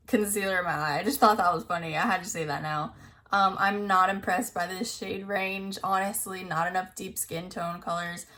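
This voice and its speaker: Young woman beauty vlogger, casual and rambling, slightly scratchy voice, middle pitch. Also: a bit bright. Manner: rambling, full of "like", reads product blurbs aloud. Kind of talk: casual chat reviewing new makeup releases.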